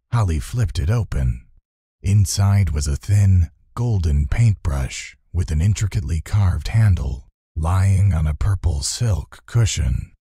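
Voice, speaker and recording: Low, husky male storybook narrator. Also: warm tone, no room sound, studio quality. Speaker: audiobook narrator. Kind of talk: scripted children's fantasy narration.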